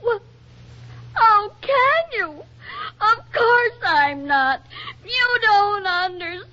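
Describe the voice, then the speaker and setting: Jealous, scolding young wife, 1940s radio drama, lively intonation, dull old recording. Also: female voice, extremely high pitch, heavily acted. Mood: wounded, tearful. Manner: brisk, scolding, emotional. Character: brisk, bossy, jealous young wife in a kitchen.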